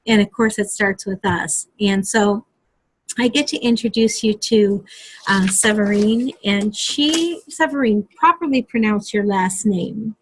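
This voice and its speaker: Slow, hesitant woman hosting a live stream. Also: medium pitch, slightly rough, low-quality recording. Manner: hesitant, many ums and restarts. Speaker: host.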